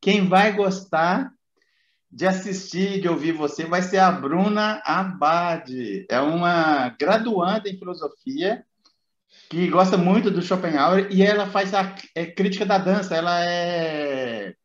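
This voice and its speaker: Male podcast host, chatty and wandering, high voice, echoey lo-fi room. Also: slow pace. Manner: chatty, meandering. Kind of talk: casual interview chat. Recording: roomy, dull lo-fi sound.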